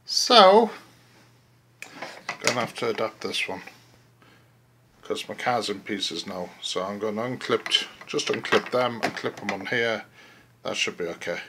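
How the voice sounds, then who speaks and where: A man giving casual, halting hands-on commentary as he thinks aloud through a DIY job, recorded on a handheld phone. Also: lowish voice, expressive, noisy background. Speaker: vlogger narrating a DIY car radio install.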